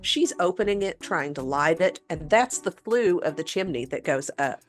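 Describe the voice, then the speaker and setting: A woman's voice: low voice, lively intonation, moderate pace, soft background music. A woman co-hosting a paranormal show, telling a story in a conversational way.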